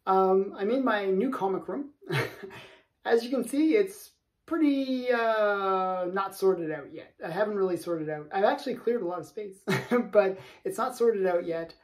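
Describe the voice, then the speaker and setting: A man's voice: high-pitched, lively intonation, unhurried. A man in his late forties hosting his own channel, chatting casually to camera from his home comic room with small laughs between points. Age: 40s. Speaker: comic collector channel host. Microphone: camera in front.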